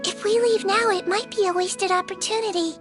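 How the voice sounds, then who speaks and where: A woman's voice: very high voice, steady tone, brisk, over music. A woman voicing a small, sweet, childlike head nurse in a video game, polite, precise and matter-of-fact. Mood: clinical, knowing. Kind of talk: video game character dialogue.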